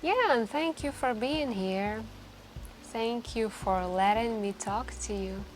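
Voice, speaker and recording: A woman playing a wistful, soft-spoken stranger in a late-night café, confiding hesitantly in an intimate ASMR roleplay. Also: fairly high pitch. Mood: tender, grateful. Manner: hesitant, musing, confiding, with gentle pauses. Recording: continuous quiet background music. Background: quiet background music.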